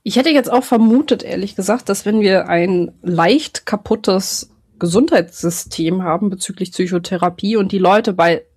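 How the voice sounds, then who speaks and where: A woman's voice: mid-range voice, moderate pace, darkish tone, slightly rough. A woman with a slight lisp, hosting a casual podcast and asking curious follow-up questions. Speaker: podcast host.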